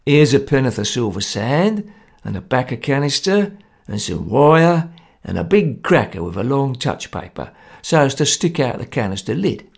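Casting: male reader as smug Cockney amateur bomber, husky and creaky, totally unbothered, for a storybook. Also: mid-range voice, sing-song, moderate pace. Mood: complacent. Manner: smug, matter-of-fact. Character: complacent, unbothered male amateur bomb-maker.

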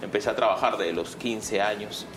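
A man's voice: lowish voice, moderate pace, over music, rough recording. A man with a Peruvian accent answering interview questions conversationally as a guest, hesitating and adding tag questions. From Peru. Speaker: guest, political candidate. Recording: clear background noise.

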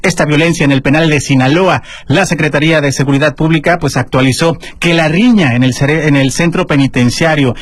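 A man with a Mexican Spanish accent hosting a casual radio news segment, chatting with his co-host and filling pauses with "eh". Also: a little high, no room sound. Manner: chatty, warm address to listeners, many fillers. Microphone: studio microphone.

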